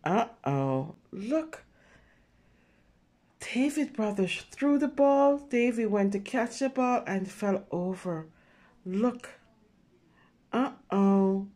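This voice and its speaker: A woman reading a picture book aloud with simple, expressive commentary for young children, exclaiming and checking in with her listeners. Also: medium pitch, takes it slowly, pauses often. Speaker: children's story reader.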